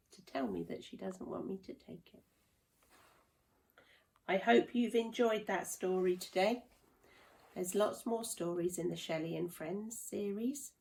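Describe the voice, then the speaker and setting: A woman reading and explaining a children's story, gently asking young listeners questions. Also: on the low side, gravelly.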